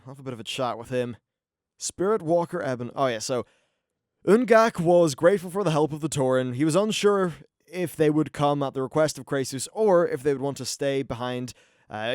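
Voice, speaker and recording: A man giving casual, informal let's-play commentary on an online fantasy game, mildly sweary as he reacts to what unfolds. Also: on the high side. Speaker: gaming YouTuber.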